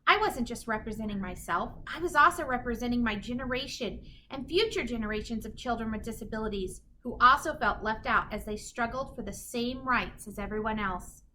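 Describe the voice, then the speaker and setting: A woman reading a children's picture book aloud in an animated, emphatic storytelling manner. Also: a little high, fairly quick, hardly any pauses, slight background noise. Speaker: children's story reader, teacher.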